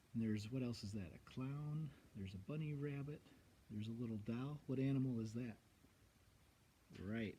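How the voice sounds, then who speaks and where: A man reading a picture book aloud expressively for children, pausing to put questions to young listeners. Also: mid-range voice, mellow, slight background noise. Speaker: guest children's story reader.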